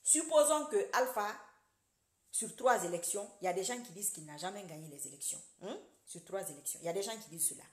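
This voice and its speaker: A woman lectures her online audience in a preachy, animated way, with loud emphatic bursts and quiet muttered asides. Her voice sits in a middle pitch. The sound is roomy and echoey, recorded on a phone or webcam. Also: quick pace. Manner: animated, preachy, addresses viewers directly. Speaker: social media commentator. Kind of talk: casual political monologue to followers.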